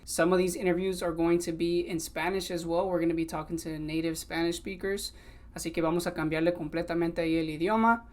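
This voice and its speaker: A man's voice: a little high, steady tone, quick pace. A man in his twenties from California, also raised in Mexico, hosting a solo podcast episode in an earnest, conversational way and switching between English and Spanish. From Sacramento, California, also raised in Mexico. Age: 20s. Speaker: podcast host.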